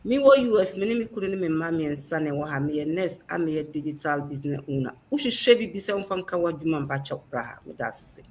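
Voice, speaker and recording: A woman's voice: low voice, animated, quick pace, few pauses. A woman from Ghana introducing herself as a panelist on a video call, upbeat. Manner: upbeat, greeting warmly. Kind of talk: self-introduction.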